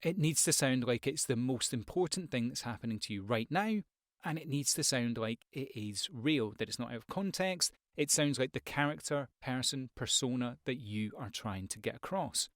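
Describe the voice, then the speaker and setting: A man's voice: mid-range voice, normal speed, no echo. A man giving a conversational, rambling tutorial as a voice-over coach, speaking expressively close to the microphone. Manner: rambling, expressive with acted examples. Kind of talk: conversational tutorial talk to viewers.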